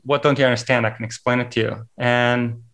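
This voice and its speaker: A man's voice: medium pitch, flat delivery, fairly quick, creaky, fairly bright tone. A man hosting a podcast, asking questions in an enthusiastic, chatty way and often repeating words as he starts a thought. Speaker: podcast host. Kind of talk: conversational interviewing.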